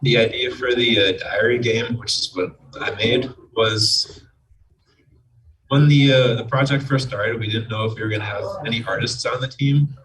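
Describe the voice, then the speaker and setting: A man's voice: medium pitch, steady tone, moderate pace, a bit bright. A man explaining his work as a game programmer and writer in an interview. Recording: distant mic with crowd chatter behind.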